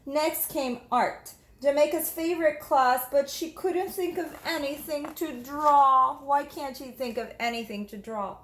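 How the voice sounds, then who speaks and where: A woman teacher reading a storybook aloud to children in an expressive, instructive manner. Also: high voice, a little slow, hardly any pauses, a little hiss or hum. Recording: echoey room.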